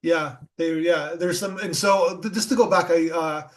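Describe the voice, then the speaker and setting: A man's voice: a little high, fairly even intonation, normal speed. A man hosting a podcast, asking rambling questions full of fillers in a casual interview. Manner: rambling, filler-heavy questions with many likes and rights. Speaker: podcast host.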